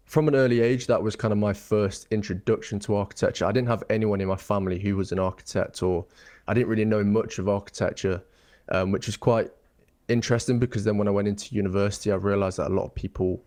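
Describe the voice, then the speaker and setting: A man's voice: on the low side, a little mellow. A young man from England, a guest architect talking through his path in a thoughtful, rambling way with many ums and restarts. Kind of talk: casual interview chat.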